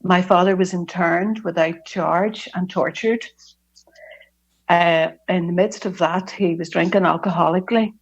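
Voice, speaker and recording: Woman, Belfast accent, middle-aged, gravelly, earnest and reflective, hesitant, muffled call audio. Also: on the low side, steady tone, unhurried. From Northern Ireland. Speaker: speaker at a recovery fellowship meeting. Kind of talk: personal testimony talk.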